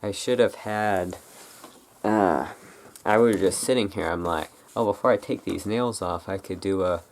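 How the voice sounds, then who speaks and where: An ASMR creator rambling unscripted about folklore in a relaxed, halting, musing manner. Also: low-pitched.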